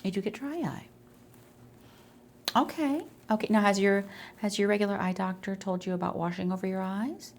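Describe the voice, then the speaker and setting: A woman speaking softly in an ASMR medical roleplay, explaining things in a reassuring, conversational way as an eye doctor. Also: medium pitch, moderate pace, pauses often. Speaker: ASMR creator role-playing an eye doctor.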